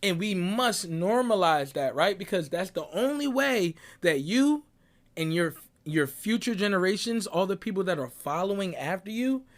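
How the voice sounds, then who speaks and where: A young man from Barbados with a notably high voice delivers a motivational monologue. He talks in an emphatic, preachy way, tagging his points with "right?" and "bruh" as he drives them home. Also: animated, moderate pace. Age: young adult. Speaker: motivational speaker. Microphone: audio clip recording.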